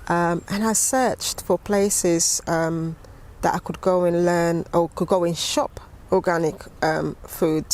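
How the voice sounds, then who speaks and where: A middle-aged woman with a London accent tells her story as an interview guest, halting and repeating words as she goes. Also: fairly low pitch, fairly even intonation, darkish tone. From West London, England. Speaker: interview guest, gardening teacher.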